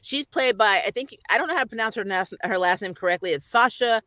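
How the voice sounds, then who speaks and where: A woman hosting a casual chat, speaking in an animated, opinionated way and laughing readily. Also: on the high side, quick pace, no room sound. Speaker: host.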